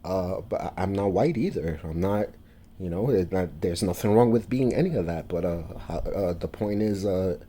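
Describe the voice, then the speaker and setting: Halting deep-voiced New man. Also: mellow, slight background noise. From New York, USA. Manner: halting, many fillers and restarts. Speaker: vlogger. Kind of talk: casual monologue to camera.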